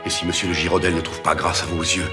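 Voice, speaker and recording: A man plays a gallant aristocratic officer in a cartoon period drama. The voice is deep and suave, speaking briskly and courteously over music. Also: level tone, close to an everyday voice. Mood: gentle. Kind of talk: French-dubbed historical anime drama. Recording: music behind the voice.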